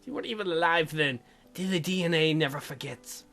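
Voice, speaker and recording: Guy doing jokey game commentary, playful, highish voice, bit gravelly, music behind. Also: a little fast, pushed and bright. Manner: irreverent, playful. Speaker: let's play host.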